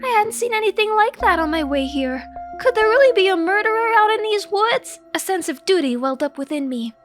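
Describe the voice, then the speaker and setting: A woman's voice: extremely high pitch, animated, fairly quick, a bit bright, faint music behind. A woman narrating a comedic diary story in a theatrical, mock-heroic, scripted style.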